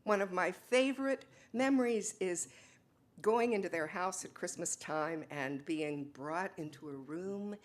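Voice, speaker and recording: A woman's voice: fairly low pitch, measured pace, lightly acted. A woman playing an exasperated, defensive wife in a dramatic stage reading, speaking emphatically. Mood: fond reminiscence. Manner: emphatic, insistent, swinging from soft to loud.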